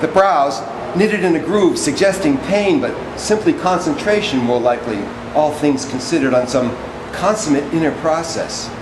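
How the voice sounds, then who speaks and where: A man playing a servile, wordy orator in a stage drama, reciting poetic text in a halting, deliberate, declamatory way. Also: fairly high pitch, noisy background, natural voice. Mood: solemn, descriptive. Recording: echoey room with music behind.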